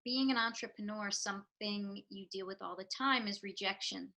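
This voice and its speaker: Interview guest: woman with a New York accent, bright and forceful tone, reflective and earnest. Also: mid-range voice, normal speed, creaky. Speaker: real estate professional. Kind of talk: interview answers.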